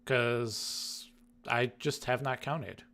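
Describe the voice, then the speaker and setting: A man's voice: mid-range voice, drawn-out words, gravelly, fairly bright tone. A man chatting casually as a regular third voice on a podcast. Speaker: podcast third chair and producer. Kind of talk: casual chat.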